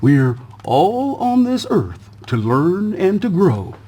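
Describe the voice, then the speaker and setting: A man voicing a wise old tortoise from a storybook, patient and deliberate as he gives soothing advice. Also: high voice, very animated, warm tone, breathy edge, full character voice. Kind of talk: storybook character voice.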